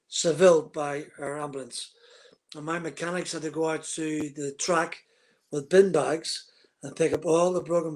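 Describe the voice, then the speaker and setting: A man, a guest on a video call telling a vivid, detailed story from his racing days. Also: fairly high pitch. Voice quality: husky. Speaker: guest, former racing driver. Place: room at home, indoors. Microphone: webcam on a video call.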